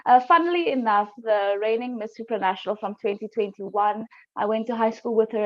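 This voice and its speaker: Guest, woman in her 20s, from South Africa; casual storyteller, slightly high voice, muffled call audio. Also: a little mellow. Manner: chatty, storytelling. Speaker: guest, pageant YouTuber. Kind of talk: casual video call chat. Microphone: video call.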